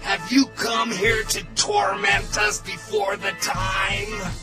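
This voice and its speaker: An actor voicing menacing, eerie demons with slow, drawn-out speech in an audio Bible drama. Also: mid-range voice, sing-song, creaky, over loud music, full character voice. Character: demons speaking from possessed men, eerie and menacing.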